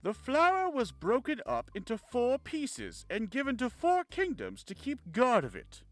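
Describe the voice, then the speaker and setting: Man playing a polite, very animated lore-keeper. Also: extremely high pitch, measured pace, soft background music, exaggerated voice. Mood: explaining. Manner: formal, expository. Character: polite, knowledgeable man explaining ancient lore. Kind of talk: amateur visual novel voice acting.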